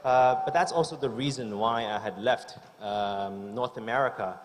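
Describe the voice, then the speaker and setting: A middle-aged man from Hong Kong speaks at a conference, hesitant and leaning on fillers as he restarts his sentences. His voice sits at an ordinary middle pitch. The mic picks up a long hall reverb. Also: moderate pace. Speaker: chef giving a talk. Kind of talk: unscripted conference speech. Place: large conference hall stage.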